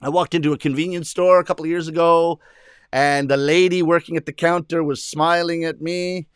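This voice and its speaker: Casting note: animated comedian, male, high voice, dry close sound, bursts into put-on shouts. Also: fairly quick, clean tone, dry recording. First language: English. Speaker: rakugo comedian. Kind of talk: stand-up storytelling to an audience.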